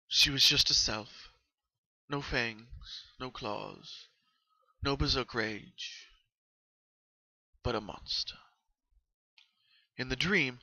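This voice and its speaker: A man narrates a dark horror story in a solemn, dramatic way. His voice is very bright and tense, at a medium pitch, and recorded very dry. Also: no echo, poor audio. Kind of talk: dramatic reading of horror fan fiction.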